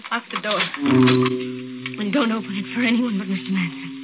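An actress playing a frightened, trapped woman in a 1940s radio thriller, breathless and halting as she thinks aloud. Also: mid-range voice, close to an everyday voice. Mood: dazed, anxious. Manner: breathless, halting, repeating herself. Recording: dull old radio recording, loud music.

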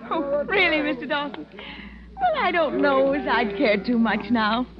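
High, coy young lady tourist. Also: expressive, a little slow. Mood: breezy, fussy. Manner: coy, affected, giggly. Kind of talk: 1940s radio acting. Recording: muffled old radio recording, crowd murmur behind.

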